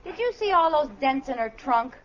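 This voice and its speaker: An actress playing a flighty, guilty but good-hearted housewife in 1940s radio comedy, with a coy, theatrical innocence. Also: female voice, high-pitched, big pitch swings, normal speed. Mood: guilty, chatty. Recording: dull, noisy old radio recording.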